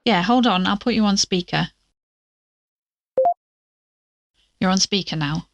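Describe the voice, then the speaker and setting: A woman's voice: mid-range voice, brisk, highs cut off, lightly acted. A woman playing a wry, impatient investigator in an audio drama, with a blunt, teasing manner. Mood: brisk, teasing. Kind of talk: audio drama acting, casual dialogue.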